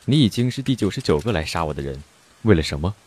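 A man plays a mysterious young swordsman with a very deep, husky voice that swings widely in pitch. He stays cool and teasing, never rushed, in an audio drama. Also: sing-song, a little mellow, heavily acted. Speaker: voice actor. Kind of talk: Chinese audio drama acting.

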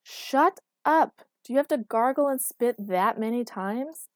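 A woman's voice: medium pitch, fairly quick, a little mellow, dry recording, clean studio sound, natural voice. A woman voicing an irritable, sleepy, stubborn young woman with a whiny, grumbling manner in an audiobook. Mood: annoyed. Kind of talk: acted audiobook dialogue.

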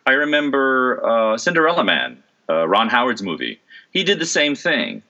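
A man's voice: medium pitch, big pitch swings, normal speed, bright, forceful tone, muffled recording. A middle-aged man who works as a voice and stage actor, talking through an interview in a thoughtful way with many fillers and restarts. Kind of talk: relaxed interview conversation.

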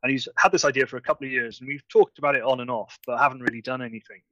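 A man's voice: mid-range voice, steady tone, very fast, fairly bright tone, dull audio, a little hiss or hum. A man from Wales, chatting enthusiastically as a guest on a video call and tripping over his words now and then. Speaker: conference organiser guest. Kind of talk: casual video-call chat.

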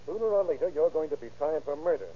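An actor playing a firm, insistent police interrogator in an old-time radio crime drama. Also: male voice, fairly high pitch, lively intonation, fast talker, breathy edge. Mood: stern. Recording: dull, noisy vintage radio recording.

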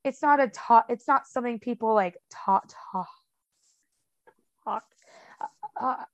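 A woman's voice: fairly high pitch, slow pace, no room sound, lo-fi sound. Young woman who stutters, dry sound. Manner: stutters with repeated sounds and blocks; frank and chatty, many fillers. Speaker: podcast guest. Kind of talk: casual conversational interview.

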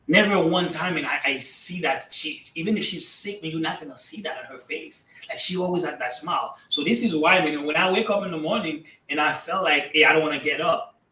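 A man from Haiti whose first language is Haitian Creole, giving emphatic, energetic motivational answers as a guest in an interview. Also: fairly high pitch, roomy sound, distant mic, low-quality recording. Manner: energetic, emphatic, addresses listeners as guys. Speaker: guest entrepreneur.

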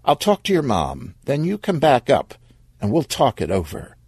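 A man voicing a brisk, bossy, protective aunt in a storybook reading, firm and emphatic. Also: mid-range voice, huge pitch swings, darkish tone, slightly rough, no room sound, studio quality, voice pushed up, exaggerated voice. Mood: stern.

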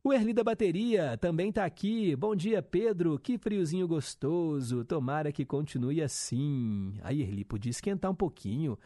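A man's voice: a little high, lively intonation, normal speed, hardly any pauses, mellow, dry recording, clean studio sound. A young male radio host, chatting casually and reading listener messages with upbeat teasing asides.

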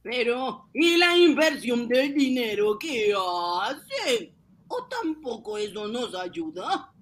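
An actor plays a doubtful man in a radio drama. His voice is strikingly high, slow and swooping, with a hesitant questioning manner. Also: very animated, a bit bright, exaggerated voice. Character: questioning, doubtful male bystander.

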